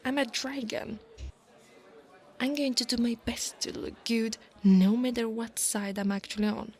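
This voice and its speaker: A woman's voice: a little high, fairly even intonation, a little mellow. A woman playing a big, domineering but insecure dragon college bully in an ASMR roleplay, with a teasing, haughty manner. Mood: grudging, proud. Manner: teasing, haughty, drawn-out delivery with breathy asides.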